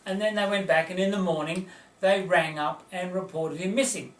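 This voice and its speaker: A man's voice: sits high, fairly even intonation, normal speed, fairly bright tone. A man co-hosting a casual comedic chat, telling stories with frequent chuckles and dry quips. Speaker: co-host. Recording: echoey room, heard from a distance.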